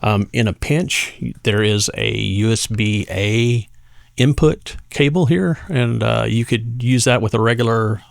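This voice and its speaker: A man giving a casual, conversational product demonstration as a gadget reviewer, speaking hesitantly with pauses mid-phrase. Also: mid-range voice, creaky, dry recording, studio quality.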